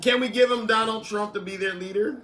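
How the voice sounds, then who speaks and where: A man's voice: sits high, steady tone, brisk, fairly bright tone. Wry male talk-show host. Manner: wry, teasing interjections. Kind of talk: casual talk-show chat.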